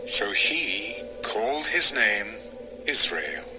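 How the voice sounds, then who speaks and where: A man narrates scripture in a dramatized audio style. His mid-range voice moves expressively up and down while staying measured. Music runs clearly beneath the narration. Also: fairly low pitch, sing-song, poor audio.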